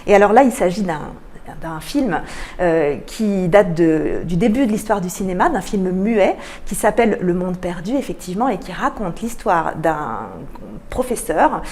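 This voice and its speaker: A woman's voice: on the low side, lively intonation, normal speed, a little mellow. Woman explaining as an interview guest. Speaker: guest, cultural programme manager. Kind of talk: radio interview, explanatory answers.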